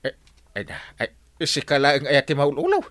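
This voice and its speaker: An actor playing a lazy, sleepy village captain in a comic radio drama, stammering and evasive with an Ilocano accent. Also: male voice, a little high, wildly swooping pitch, brisk, a bit bright, no echo. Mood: surprised, bumbling. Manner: stammering, full of "eh" and "ay".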